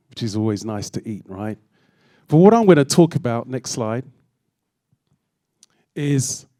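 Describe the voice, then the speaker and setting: A middle-aged man from London speaks to a morning audience in a friendly, rambling way, pausing on ums. His accent is plain London. The sound is dry and close. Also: mid-range voice, dark tone, dry recording. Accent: London English. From London, England. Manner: friendly, chatty, with frequent ums. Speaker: guest speaker. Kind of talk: live talk to an audience. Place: event hall with audience.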